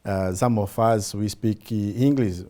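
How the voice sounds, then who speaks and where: A man whose first language is Portuguese, answering interview questions in English, hesitant with many pauses and fillers. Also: lowish voice, dark tone. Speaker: citizenship program representative.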